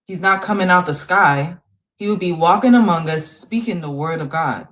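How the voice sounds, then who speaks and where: Low-voiced woman explaining calmly. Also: normal speed. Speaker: co-host of a religious stream panel. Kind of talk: live-stream discussion.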